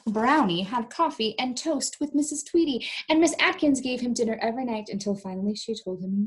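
A young woman reading a storybook aloud to small children in an animated, coaxing way, pausing to ask them questions. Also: mid-range voice, slightly rough, low-quality recording. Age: young adult. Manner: animated, coaxing, exaggerated delivery for young listeners. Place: small indoor room.

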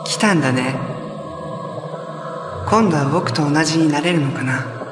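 A man's voice: on the high side, measured pace, lightly acted. Actor as echoing, eerie young male villain. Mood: taunting, expectant. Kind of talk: live-action TV drama dialogue. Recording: echoey, distant, loud music behind.

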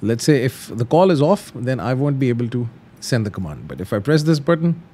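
A man's voice: mid-range voice, very animated, fairly quick, dark tone, slight background noise. A man hosting a tutorial, explaining things casually step by step and repeating simple instructions. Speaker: host of flight simulator tutorials.

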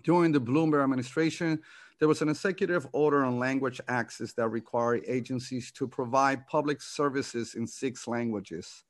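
A man's voice: medium pitch, steady tone, moderate pace, no room sound, studio quality. A middle-aged man from New York reading an opening statement as committee chair from his notes over a video call. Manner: reading from notes, with fillers and restarts. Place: home office, indoors.

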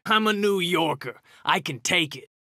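Bright, cocky New turtle brawler. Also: male voice, high-pitched, lively intonation, moderate pace, dry recording, studio quality, heavily acted. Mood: cocky, defiant. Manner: brash, slangy trash talk. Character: cocky hot-tempered young male mutant ninja turtle brawler. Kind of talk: video game fighter taunts.

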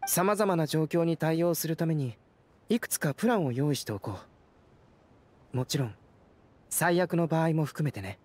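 An actor playing a calm young male alchemist who explains things in a gentle, measured way. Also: fairly high pitch. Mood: analytical. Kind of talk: video game voice acting. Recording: game cutscene audio with soundtrack under it.

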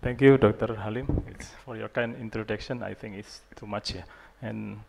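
A man in his thirties with an Indonesian accent, giving a conference lecture on a handheld mic in a large hall, halting and self-deprecating with many fillers. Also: on the low side, fairly even intonation, vocal fry, darkish tone. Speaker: guest lecturer, urologist.

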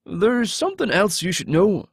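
Man acting a frightened, pleading man, high-pitched voice. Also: very animated, normal speed, creaky, breathy edge, dry recording, studio quality, full character voice. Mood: remorseful, grave. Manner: earnest, anxious, pleading. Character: frightened, pleading young man, remorseful deserter.

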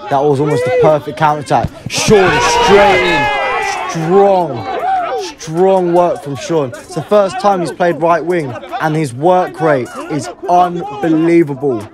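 A man giving live amateur football play-by-play, excitable and prone to raising his voice at big moments. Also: on the high side, takes it slowly. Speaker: football match commentator. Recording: music and crowd noise behind, outdoors. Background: music and outdoor crowd sounds.

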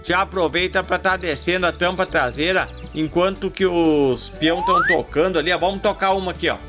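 A high-pitched man chats his way through toy farm play, talking to viewers as his friends. He stretches out long herding calls to the cattle. Music plays loudly behind his voice. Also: a little fast. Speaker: toy farm channel host. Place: outdoors in a dirt yard with toy animals. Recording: background music under the voice.

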